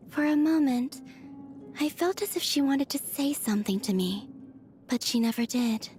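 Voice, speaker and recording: An actress playing a dreamy, otherworldly young moon maiden in a video game, speaking in a soft, airy, musing way with innocent matter-of-factness. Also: female voice, a little high, slight background noise. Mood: wistful, hushed. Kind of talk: scripted video game character voice lines. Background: background music.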